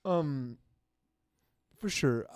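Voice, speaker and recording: A man co-hosting a sports podcast, talking in a casual, animated way with quick asides. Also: a little high, smooth, no echo, clean studio sound. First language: not French. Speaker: podcast co-host.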